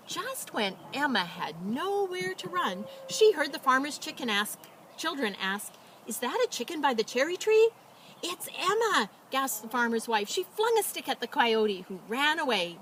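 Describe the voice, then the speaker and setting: A woman reading aloud as a children's storyteller, with expressive, dramatic emphasis and casual delivery. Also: a little high, a little hiss or hum. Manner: animated, dramatic emphasis and drawn-out words.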